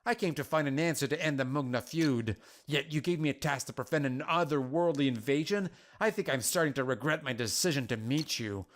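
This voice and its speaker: A man's voice: fairly high pitch, a little fast, few pauses, close to an everyday voice. A man voicing a troubled, defiant warrior woman in a story, earnest and questioning in an agitated manner. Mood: exasperated. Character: Arya, troubled defiant young female warrior burdened by war.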